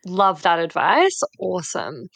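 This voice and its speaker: Female podcast host; low pitch with vocal fry, short affirming replies. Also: wildly swooping pitch, measured pace, fairly bright tone, no echo. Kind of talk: casual podcast conversation.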